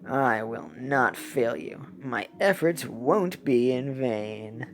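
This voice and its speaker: A woman voicing a devoted villain's minion from a game, solemn and melodramatic, with drawn-out delivery. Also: rumbling low, lively intonation, a little hiss or hum, pitched below the performer's own voice, full character voice. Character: devoted, grovelling villain's minion pledging loyal service.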